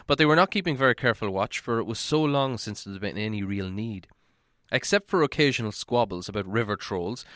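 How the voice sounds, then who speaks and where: A man reading a fantasy story as an audiobook narrator, dramatic and expressive. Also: lowish voice, no echo, clean studio sound.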